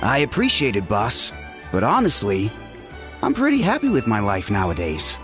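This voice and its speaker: A man's voice: on the low side, huge pitch swings, moderate pace, music in the background. A man playing an easygoing, contented young balloon operator in a video game, casual and earnest. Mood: modest, content.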